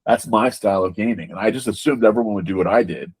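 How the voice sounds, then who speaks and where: A man being interviewed as a game designer, talking casually and haltingly, often repeating words as he explains. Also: fairly low pitch, a little mellow, slightly rough.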